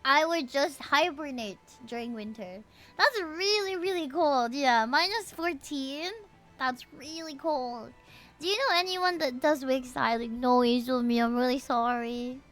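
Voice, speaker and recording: A woman's voice: high-pitched, unhurried, fairly bright tone. A woman livestreaming a video game, chatting casually with viewers in an exclamatory, chatty way. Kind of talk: casual chat with viewers, reading game dialogue aloud. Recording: music behind the voice.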